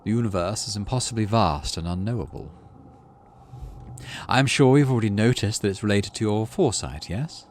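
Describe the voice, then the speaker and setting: A man voicing a calm, teasing, cryptic alien forest guide in a visual novel game, with a wry, deliberate manner. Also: fairly low pitch, a little hiss or hum, close to an everyday voice. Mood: musing.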